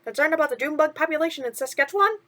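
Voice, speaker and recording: A woman's voice: high voice, animated, racing, a bit bright, voice pushed up. A woman voicing a brash little male mouse from a children's storybook, bossy but encouraging, with slangy, punchy delivery. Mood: brisk, probing. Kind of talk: children's storybook character reading.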